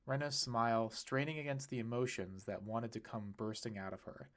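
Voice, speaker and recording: A man's voice: mid-range voice, fairly even intonation, moderate pace, fairly bright tone. A man narrates a piece of fiction in a mid-range voice. He reads from a script with expression, in the manner of an audiobook.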